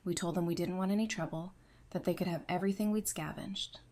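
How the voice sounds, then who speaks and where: Mellow, composed female survivor played by an actress. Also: lowish voice, steady tone, lightly acted. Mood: controlled grief. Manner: measured, earnest. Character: composed, resolute widowed woman, apocalypse survivor. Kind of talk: audio drama acting.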